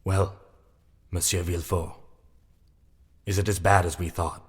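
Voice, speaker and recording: An actor playing a polite, attentive subordinate official in an audio drama, asking questions deferentially. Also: male voice, low voice, little pitch movement, unhurried, breathy edge. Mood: concerned, dutiful. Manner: polite, inquiring. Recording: long hall reverb.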